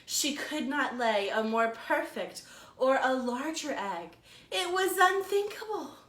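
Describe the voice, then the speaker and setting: A bright-voiced American woman tells a story for preschoolers in a roomy, echoing space. Her pace is slow and deliberate, and she leans on words for dramatic emphasis as a children's librarian would. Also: high-pitched, animated. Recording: roomy echo.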